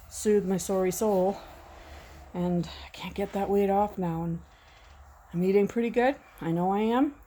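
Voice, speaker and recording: A woman in her sixties chatting casually from behind a camera at home, rambling and self-deprecating, her voice going hoarse. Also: on the low side, mellow. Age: 60s. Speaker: vlogger. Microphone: camera mic, speaking from behind the camera.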